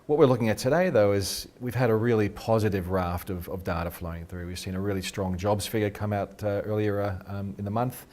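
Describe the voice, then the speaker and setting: A middle-aged Australian man, a research head being interviewed, talks quickly and eagerly and restarts his sentences a lot. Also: on the low side, steady tone, a little mellow. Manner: quick, eager, repeats words when starting sentences. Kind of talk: casual interview talk. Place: radio studio.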